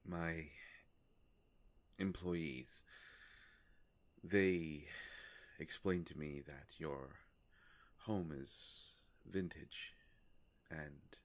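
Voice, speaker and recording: A man playing a courteous, ancient vampire in a roleplay audio drama, speaking in a halting, formal, soft-spoken way. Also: extremely low pitch, fairly even intonation, heavily acted. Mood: polite, tentative. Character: courteous, ancient male vampire, gentle and hesitant.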